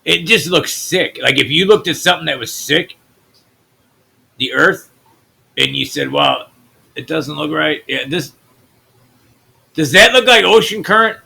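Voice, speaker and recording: A man from the US Midwest with a naturally deep voice, hosting a channel and talking loosely through his screen, trailing off into mutters. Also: lively intonation, unhurried, creaky, pauses often, bright, forceful tone, husky. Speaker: channel host. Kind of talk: rambling screen-share commentary.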